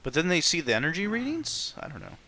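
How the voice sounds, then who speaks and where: A man's voice: fairly high pitch, huge pitch swings, fast, sharp tone. A man giving casual commentary while playing through a video game. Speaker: game streamer.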